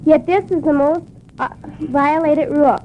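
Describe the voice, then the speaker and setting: A woman's voice: high-pitched, fairly even intonation, normal speed, highs cut off, slight background noise. An American woman reading a 1960s radio public service announcement on an old AM aircheck recording.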